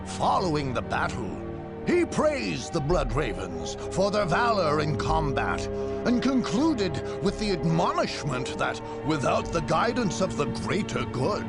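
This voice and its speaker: An actor playing a grave, stately chronicler of a far-future war, narrating a video game in a measured, formal storytelling manner. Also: male voice, a little high, big pitch swings, over loud music. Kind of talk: dramatic scripted narration for a game.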